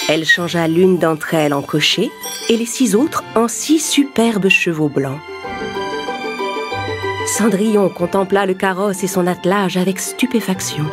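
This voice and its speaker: A woman narrator reading a scripted fairy tale for children. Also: fairly low pitch.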